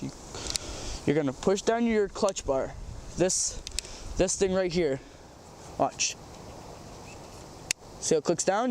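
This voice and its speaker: Young male host, stuffed-up from a cold; high, loose, repetitive casual tutorial delivery. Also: darkish tone, slight background noise. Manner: rambling, repeats words, says um often. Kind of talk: casual how-to demonstration. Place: outdoors by a small farm pond.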